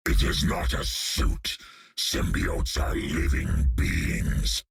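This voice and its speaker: A man voicing a cold, disdainful inhuman villain in a video game, with a rasping, gravelly voice. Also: a bass voice, very slow, exaggerated voice. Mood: menacing. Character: contemptuous killer robot and menacing alien parasite, inhuman male villains. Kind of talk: video game character acting.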